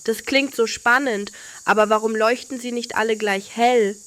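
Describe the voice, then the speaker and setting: A woman voicing a curious, gentle young girl full of wonder in a children's bedtime story. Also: on the high side, fairly even intonation, normal speed, lightly acted.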